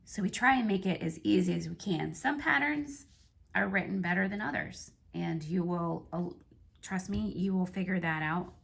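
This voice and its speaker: A woman from North Carolina, hoarse and stuffy from allergies, explaining crochet abbreviations in a casual tutorial. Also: lowish voice. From North Carolina, United States. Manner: slow, careful spelling out of abbreviations. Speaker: crochet teacher.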